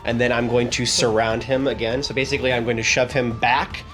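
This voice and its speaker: A man's voice: medium pitch, little pitch movement, brisk, fairly bright tone. A man casually explaining his moves as a player in a tabletop game, with music under his voice. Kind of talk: casual tabletop play talk.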